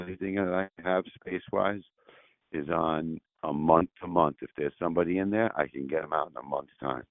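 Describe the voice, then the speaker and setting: Property owner, 60s, low and gravelly, dry phone-line sound, chatty, restarts a lot. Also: male voice, no room sound. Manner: rambling, restarts often, laughs easily. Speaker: property owner on a sales call. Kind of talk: casual phone conversation.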